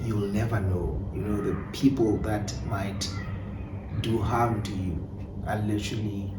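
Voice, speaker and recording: A South African man giving conversational interview answers as a playwright and director, telling things in an animated storytelling way. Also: lowish voice, measured pace. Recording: echoey room, distant mic, clear background noise.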